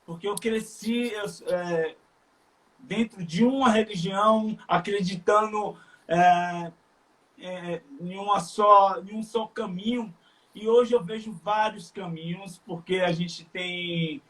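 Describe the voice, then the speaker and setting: Bahian man, high voice, sincere, halting speech full of fillers. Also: a bit bright, rough recording. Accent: Bahian Brazilian Portuguese. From Salvador, Bahia, Brazil. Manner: earnest, halting with frequent fillers. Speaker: live stream guest. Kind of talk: casual chat.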